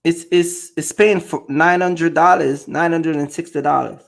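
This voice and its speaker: A man's voice: on the high side, steady tone, unhurried, creaky. A man from Jamaica, a box truck driver chatting loosely on a livestream with many fillers and repeated words. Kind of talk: casual livestream chat.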